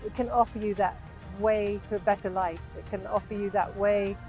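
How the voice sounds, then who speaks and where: A woman with a middle-pitched voice speaks earnestly in a documentary interview. She is measured and sincere, and soft music plays underneath her. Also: steady tone.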